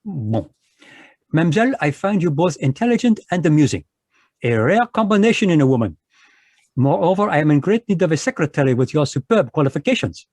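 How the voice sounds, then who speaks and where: A man voicing a fussy, precise Belgian detective, speaking in a measured, lecturing way in a live online radio drama. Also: on the high side, sing-song, a little mellow, dry recording. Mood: courteous.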